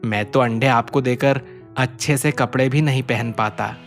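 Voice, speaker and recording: A man's voice: medium pitch, steady tone, moderate pace, soft background music, voice pushed down, lightly acted. A man voicing a poor, humble, obliging village egg seller in a cartoon, with a deferential, explaining manner. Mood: humble, mildly complaining.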